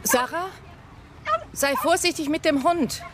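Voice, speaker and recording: A woman's voice: high-pitched, measured pace, over music. An actress in a German radio crime drama playing a protective mother shaken by her daughter's kidnapping. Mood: caring, watchful.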